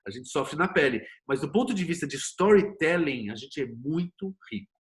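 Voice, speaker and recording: A man's voice: a little high, lively intonation, moderate pace. A man with a Brazilian Portuguese accent talking casually and emphatically as a guest in an interview, in informal language. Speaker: interview guest, TV producer and screenwriter.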